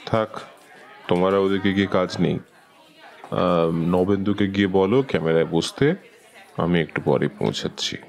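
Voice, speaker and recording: An actor playing a veteran male TV director in an audio drama, commanding and slyly predatory, with a gravelly, unhurried delivery. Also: sits low. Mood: curt, authoritative. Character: smooth, predatory veteran TV serial director, man.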